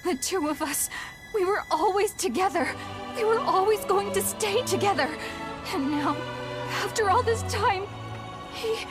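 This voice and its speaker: A woman playing a gentle, grieving young revenant in a video game, speaking in a halting, emotional way. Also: very high-pitched, animated, loud music behind the voice. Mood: anguished. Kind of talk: dramatic video game voice acting.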